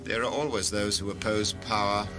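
A man's voice: fairly low pitch, fairly even intonation, moderate pace, over music, close to an everyday voice. A man playing a self-assured, formal and pompous director of a future regime in an audio drama. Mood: proud, persuasive. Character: self-assured titled male director of a future ruling cartel.

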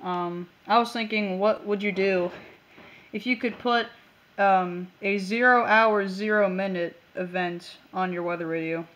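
A woman's voice: mid-range voice, fairly even intonation, a little slow, a bit bright, lo-fi sound, a little hiss or hum. A woman narrating a casual hobby demonstration in an offhand way, half talking to herself, on a handheld camera in a room at home. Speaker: video maker. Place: small room at home, indoors. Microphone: handheld camera mic.

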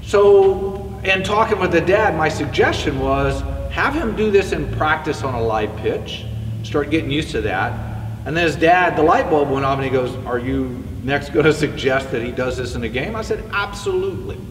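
A man's voice: on the high side, expressive, normal speed, music in the background. A middle-aged man teaching baseball hitting to the camera in a casual, conversational way, moving about and showing each point with a bat. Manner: conversational, demonstrating with a bat while he talks. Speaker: hitting instructor. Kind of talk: spoken coaching lesson to camera. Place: indoor batting cage with netting. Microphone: far camera. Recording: echoey indoor facility, distant mic.

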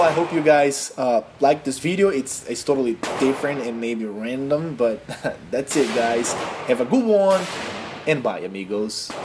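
Young Brazilian man, non-native English, earnest vlog voice-over, mid pitch, faint hiss behind. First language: Portuguese. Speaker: gaming YouTuber.

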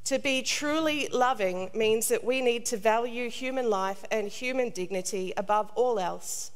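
A middle-aged woman priest delivers a scripted sermon in a measured, solemn, deliberate way. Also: on the high side. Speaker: priest, preacher. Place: large stone cathedral, indoors. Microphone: lectern gooseneck microphone. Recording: long cathedral reverb.

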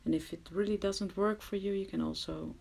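A woman's voice: mid-range voice, fairly even intonation, fairly quick, vocal fry, a little mellow. A woman with a Dutch accent giving a casual, hesitant crafting tutorial, often dropping to a murmur. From Netherlands. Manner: hesitant, self-deprecating, drops to murmurs. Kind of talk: casual screen-recorded tutorial. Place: at someone else's home.

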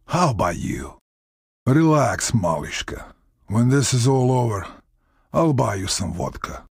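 An actor playing a tough, wry middle-aged Russian soldier with a gravelly voice and Russian accent, in a measured, blunt video game delivery. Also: male voice, medium pitch, big pitch swings, dry recording, studio quality, exaggerated voice. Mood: calm, wry. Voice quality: gravelly, husky. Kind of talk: video game character voice lines.

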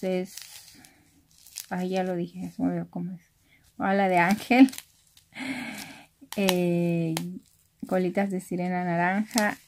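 A young Mexican woman talks softly and slowly, casually narrating a craft unboxing. Her voice stays low in volume, and there is faint noise with packaging crinkling around her. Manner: soft-spoken, keeping voice down because others are sleeping. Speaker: craft vlogger. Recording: noisy room with handling rustle and crinkling. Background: clicks, crumpling and crinkling of packaging.

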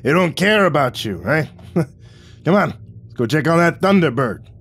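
A man voicing a crude, loud male creature handler who talks in a gruff, blunt, coarse way. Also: fairly high pitch, big pitch swings, measured pace, a little hiss or hum, voice pushed up, full character voice. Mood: gruff, brash.

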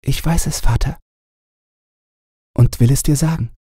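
A demure young fairy-tale princess, as a male narrator puts her on, coy and soft-spoken. The pitch is lifted well up, with a husky texture. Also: unhurried, no room sound, clean studio sound. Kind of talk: fairy-tale audiobook character voice.

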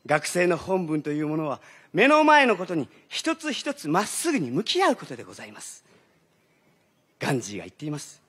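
An actor playing an earnest schoolteacher who gives a formal, halting, deadpan speech on stage. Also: male voice, fairly high pitch, slightly rough, exaggerated voice. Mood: earnest, rambling. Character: earnest, rambling schoolteacher addressing students. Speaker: teacher giving a pre-show speech. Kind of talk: comic stage-play monologue. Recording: stage recording.

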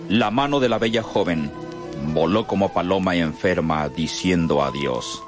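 A man's voice: deep voice, moderate pace, lightly acted. A man acting as a solemn, florid narrator of an old radio serial. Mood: dramatic, solemn. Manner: dramatic, florid storytelling. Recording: music under the voice.

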